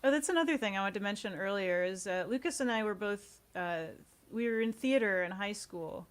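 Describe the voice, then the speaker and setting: A woman's voice: mid-range voice, lively intonation, brisk. A woman talking casually as an interview guest, chatty and informal. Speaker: podcast guest, audio series co-creator.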